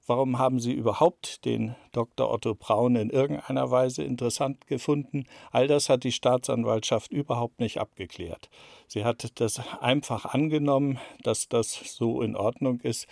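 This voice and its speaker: A man with a gravelly, creaky voice gives analytical answers in an interview. He explains things deliberately and puts weight on key words. The sound is close and dry. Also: mid-range voice, fairly even intonation, a little mellow, no echo. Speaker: interviewed expert on a criminal case.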